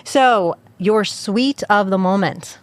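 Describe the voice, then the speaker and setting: A woman's voice: medium pitch, slow pace, dry recording. Playful woman podcast host. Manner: playful, laughing, teasing. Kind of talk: casual chat.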